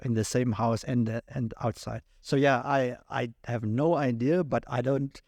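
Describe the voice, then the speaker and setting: A man's voice: medium pitch, fairly even intonation, moderate pace, creaky, darkish tone, dry recording. A middle-aged man with a Southern African accent, chatting casually as a podcast guest and telling his own story. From Cape Town and Namibia. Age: 40s or 50s. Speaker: podcast guest, sound engineer.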